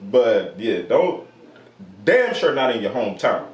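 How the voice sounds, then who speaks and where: Laid-back man, lively pitch, far from mic. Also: measured pace, roomy sound. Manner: laid-back, laughing often. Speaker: podcast co-host. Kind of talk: casual chat.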